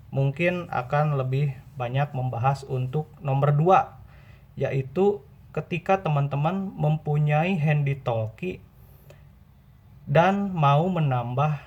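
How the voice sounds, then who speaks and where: A man hosting an informal tutorial, explaining step by step with pauses and repetition. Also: a little high, darkish tone. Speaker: video host and radio seller. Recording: dull, muffled lo-fi audio.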